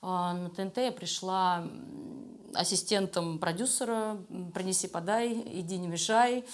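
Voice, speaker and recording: A woman's voice: fairly low pitch, moderate pace. A middle-aged woman answering interview questions conversationally as a guest, candid and self-deprecating. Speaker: interview guest, animation studio creative director.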